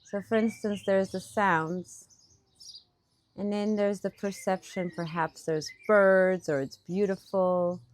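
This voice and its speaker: A woman guides a meditation at a very unhurried pace, in a mid-range voice. Her phrasing is gentle and instructive, with room left between thoughts. She is speaking outdoors in a park, with birds chirping around her. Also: mid-range voice, fairly even intonation, slight background noise. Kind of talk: guided meditation, softly instructive and partly improvised.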